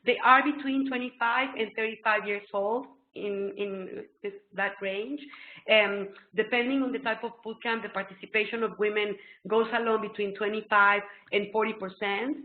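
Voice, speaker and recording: A middle-aged woman with a Mexican Spanish accent presents a webinar from a small room, her Spanish roots clear in her English. She explains eagerly, with plenty of ums. The sound is thin and phone-like, with a roomy echo. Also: mid-range voice, normal speed. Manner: excitable, explanatory, full of ums. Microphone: laptop webcam video call. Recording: tinny phone-like echoey webcam call.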